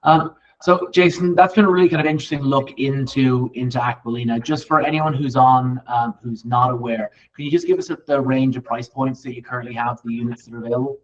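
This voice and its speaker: A man's voice: mid-range voice, brisk, hardly any pauses. A man hosting a conversational real estate interview, speaking in a rambling, agreeable way. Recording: distant, dull lo-fi microphone.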